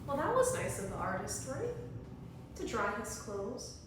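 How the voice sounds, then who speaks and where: Echoey high-voiced woman reading to children. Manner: expressive, pauses to ask children questions. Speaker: early childhood teacher. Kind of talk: read-aloud storytelling to young children with questions. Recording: very echoey room, distant mic, faint noise.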